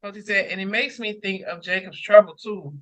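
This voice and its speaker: A man's voice: high voice, fairly quick, a bit bright, no room sound, low-quality recording. A man talking casually through scripture as a co-host, emphatic and repeating phrases for weight. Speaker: co-host of a Bible read-along discussion.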